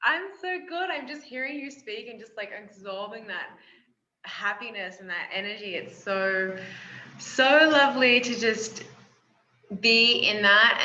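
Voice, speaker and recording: Bright woman in her twenties. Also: medium pitch, a little slow. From Australia. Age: 20s. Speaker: guest, yoga teacher and confidence coach. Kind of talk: interview conversation. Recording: roomy, dull sound.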